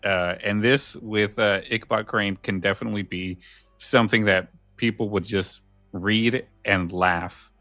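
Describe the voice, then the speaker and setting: A man co-hosting a podcast, chatting casually in an easygoing, slightly halting way. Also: lowish voice, fairly even intonation, pushed and bright, dry recording, faint music behind. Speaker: podcast co-host. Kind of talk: casual chat.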